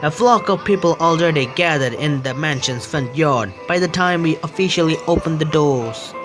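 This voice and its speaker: A man hosting a gaming video, reading game text aloud and commenting on it in a jittery, excitable way. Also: fairly high pitch, normal speed. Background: game music.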